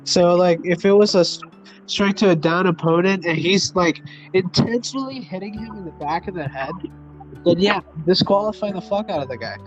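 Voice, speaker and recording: A man's voice: high voice, normal speed. A man co-hosting a sports podcast with blunt, slangy casual commentary and free swearing. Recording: muffled lo-fi audio, faint music.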